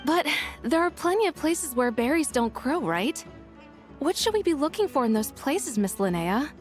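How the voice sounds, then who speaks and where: An actress playing an earnest young student in a video game, polite and inquisitive. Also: female voice, sits high, sing-song, moderate pace, faint music behind. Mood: curious.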